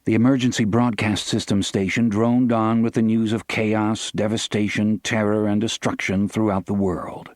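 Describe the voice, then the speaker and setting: A man narrates a dramatic novel in a fairly low voice. The reading is scripted and recorded close, with almost no room sound. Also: level tone, moderate pace, hardly any pauses, a little mellow, no echo. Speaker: audiobook narrator.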